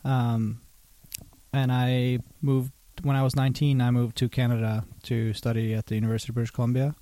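A man's voice: medium pitch, a little fast. A man in his thirties from Norway, a native Norwegian speaker, talking casually as a podcast guest with many fillers. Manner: relaxed, hesitant, many fillers. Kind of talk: casual interview talk.

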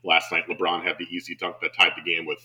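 A man's voice: deep voice, monotone, fast, bright, forceful tone. A man hosting a sports show, chatting casually and enthusiastically as he analyses basketball. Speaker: sports podcast host and basketball broadcaster.

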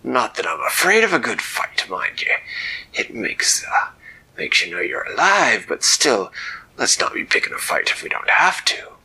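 A man voicing a gruff old male sea captain who talks in hearty sailor's dialect, full of "aye" and "lad". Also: mid-range voice, huge pitch swings, moderate pace, sharp tone, slight background noise, exaggerated voice, poor audio. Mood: gruff, wary.

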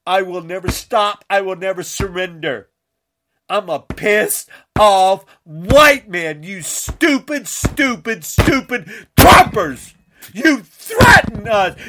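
A man from the United States, crying and shouting through an angry rant. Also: sits high, expressive, slow pace, background noise. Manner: shouting, tearful, ranting. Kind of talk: angry political rant to camera.

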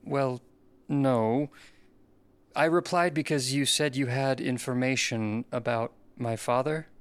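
A man playing an uncertain, deferential man in an audio drama, speaking in a hesitant, halting way as he seeks answers. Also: mid-range voice, steady tone, clean tone, close to an everyday voice. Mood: hesitant, conflicted. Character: uncertain, deferential man seeking answers about his father.

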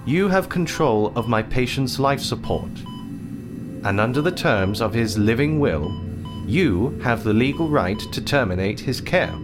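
A man voicing a calm, businesslike male doctor, clinical and matter-of-fact, with soft music behind. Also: medium pitch, a little slow, voice pushed up, close to an everyday voice. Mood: detached.

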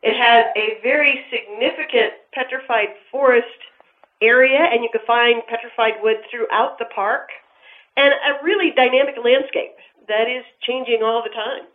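Higher-pitched formal woman over a phone line. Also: moderate pace. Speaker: park official being interviewed. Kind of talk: prepared, factual interview answers.

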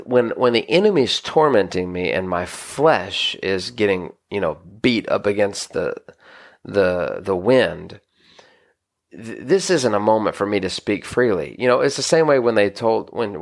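A man's voice: on the low side, creaky. A married man, a pastor, teaching scripture on a podcast in an earnest, conversational way with many restarts. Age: adult, married. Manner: earnest, conversational, frequent restarts and "you know".

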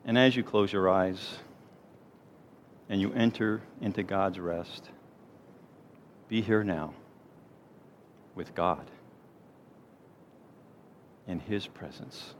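Low-voiced man leading a gentle guided meditation. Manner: gentle, unhurried, soothing delivery with pauses. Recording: clear background noise, low rumble.

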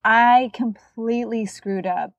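A woman's voice: on the high side, slow pace, no room sound, highs cut off. A woman with a cold and a nasal voice, telling a self-mocking kitchen story in casual chat. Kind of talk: casual chat about cookbooks.

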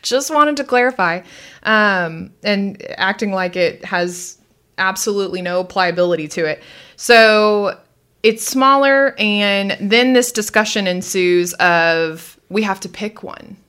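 A woman with a mid-pitched voice speaks as a co-host in casual conversation. Her commentary is wry and animated, full of retelling and questioning asides. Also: takes it slowly, clean tone. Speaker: podcast co-host.

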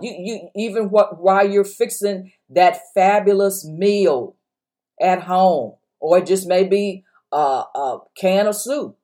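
A woman's voice: medium pitch, a little mellow. A woman pastor with a strong voice, preaching an encouraging sermon on a podcast and exhorting her listeners with repeated phrases.

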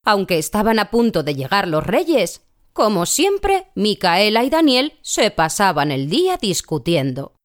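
A woman narrating a scripted children's audio tale as an animated storyteller. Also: medium pitch, unhurried. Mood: wry narrating.

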